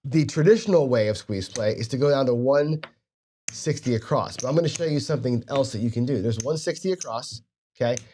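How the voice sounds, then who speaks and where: A man's voice: medium pitch, a little fast, warm tone, slight background noise. A man with a cold and a stuffy nose explaining a gambling strategy in a conversational tutorial, often checking in with his listeners. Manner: conversational, frequent tag questions "right?". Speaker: host of a casino gambling channel.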